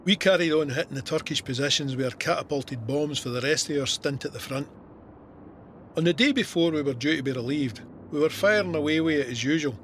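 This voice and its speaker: A male actor as a Scottish soldier telling his war story in a radio drama. His voice sits a little high for a man, measured and plain-spoken, with some noise behind him. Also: fairly bright tone, lightly acted. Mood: warm, reflective. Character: soldier Sandy recounting his Gallipoli service, plain-spoken and vivid. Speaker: narrator. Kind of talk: scripted first-person war memoir narration.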